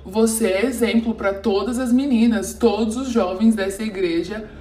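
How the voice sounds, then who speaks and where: Woman putting on a preachy scolding church member, mellow, echoey. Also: mid-range voice, steady tone, normal speed, pitched below the performer's own voice, lightly acted. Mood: preachy, reproving. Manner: admonishing, preachy. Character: scolding church member lecturing the pastor's daughter.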